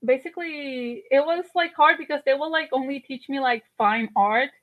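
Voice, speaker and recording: A woman's voice: high-pitched, moderate pace. Woman on a tinny line. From Colombia. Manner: chatty, many fillers and restarts. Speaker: guest artist. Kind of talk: casual interview chat.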